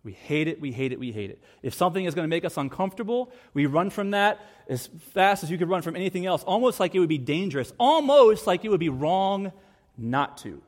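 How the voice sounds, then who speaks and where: A pastor preaching a sermon to his church. His voice sits on the higher side for a man, and he speaks earnestly, driving points home by repeating them. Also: expressive, fairly quick. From United States. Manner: earnest, with emphatic repetition.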